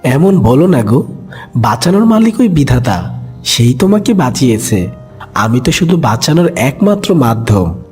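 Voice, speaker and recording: A man's voice: mid-range voice, sing-song, moderate pace, a little mellow, slightly rough, faint music behind. A man voicing a kind, brave and clever young duckling in a cartoon animal fable, earnest and coaxing.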